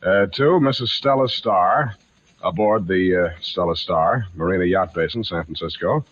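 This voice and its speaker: An actor playing a dry, wisecracking private detective in 1940s radio style, laconic and deadpan as he dictates and talks business. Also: sits low, moderate pace, dry recording. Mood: wry, dictating. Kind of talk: 1940s radio acting, first-person noir narration. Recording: muffled old radio recording, music behind.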